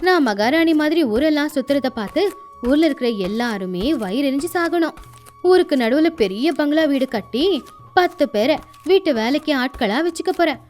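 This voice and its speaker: A woman voicing a greedy, quarrelsome, boastful village wife in a moral story, with expressive, dramatic delivery. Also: sits high, normal speed. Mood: gloating. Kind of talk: acted moral story for children. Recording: faint music underneath.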